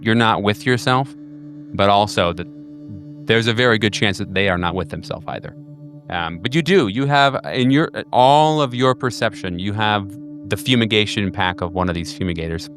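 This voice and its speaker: A man's voice: on the low side, moderate pace, fairly bright tone, faint music behind. A man narrating as game master of a horror tabletop game, rambling with fillers and restarts.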